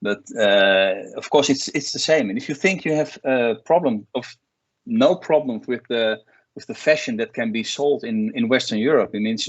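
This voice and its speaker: A man's voice: medium pitch, no room sound. A man, an export coach giving a plain-spoken online workshop talk with many restarts and fillers. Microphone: video call. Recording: dull lo-fi video call audio.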